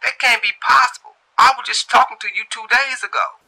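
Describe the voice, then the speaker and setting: A man's voice: very high-pitched, steady tone, brisk, creaky, bright, forceful tone, pitched above the performer's own voice, heavily acted, poor audio. A man voicing an insistent, exasperated young male caller in a storytelling reading. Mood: pleading. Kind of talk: amateur storytelling.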